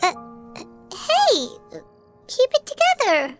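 A tiny cheeky fairy companion, in an extremely high, squeaky childlike voice. It bounces wildly in pitch, slowly paced, in a video game. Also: female voice, huge pitch swings, loud music behind the voice, full character voice. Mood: alarmed.

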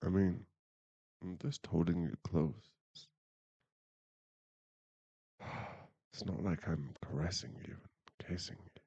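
Man acting a low, gravelly teasing boyfriend. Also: takes it slowly, pauses often, dark tone, no room sound, dull audio, heavily acted. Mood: sleepy, tender. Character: teasing, bashful young bad-boy werewolf boyfriend. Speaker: voice actor in an audio roleplay. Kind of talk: intimate boyfriend ASMR roleplay.

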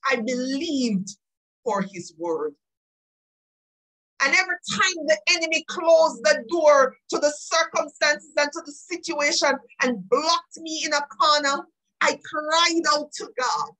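A woman's voice: high voice, steady tone, unhurried, sharp tone, low-quality recording. A woman preacher delivering an impassioned live sermon, pleading and emotional, tearful, repeating phrases for emphasis.

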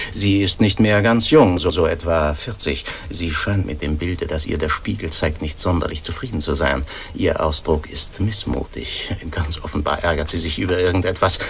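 A mock-solemn presenter narrating a radio drama like a grand lecture. His low voice swings widely as he declaims. Light noise sits in the recording. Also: fairly bright tone. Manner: declamatory, ornate, pompous.

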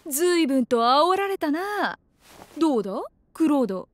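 High-voiced imperious young queen. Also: big pitch swings, takes it slowly, heavily acted. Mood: amused. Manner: imperious, archaic diction. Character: proud, commanding young fantasy queen.

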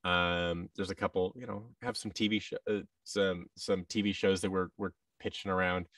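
A man's voice: low voice, steady tone, moderate pace, fairly bright tone, no echo, far from the mic. An American man in his thirties chatting casually as an interview guest, often restarting and acting out bits of dialogue. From United States. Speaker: podcast guest, voice actor. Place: large indoor room with tables, on a video call. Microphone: boom-arm microphone with headset.

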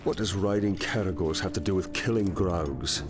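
An actor playing a proud, stern ranger and former captain in a video game cutscene, terse and serious. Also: male voice, low-pitched, normal speed, close to an everyday voice. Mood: stoic. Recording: loud music behind the voice.

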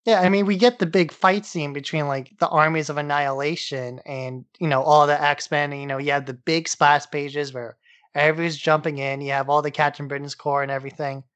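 A man's voice: on the high side, steady tone, a little fast, fairly bright tone. A man co-hosting a podcast, chatting casually about comics and peppering his sentences with "like". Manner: peppers speech with "like". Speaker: podcast co-host. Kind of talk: casual comic book discussion.

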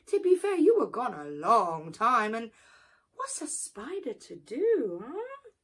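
A woman voicing a sly, greedy male spider trickster who scolds and wheedles, with a husky voice. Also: a little high, wildly swooping pitch, takes it slowly, full character voice. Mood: wheedling. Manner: sharp, scolding, then wheedling and evasive.

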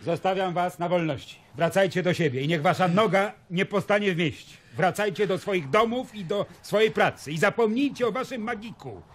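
A stern Roman governor in a radio play. He questions and orders people brusquely, with a raised, slightly husky voice full of authority. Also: high voice, a little fast, few pauses. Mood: stern, commanding. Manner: authoritative, interrogating. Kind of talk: radio drama acting.

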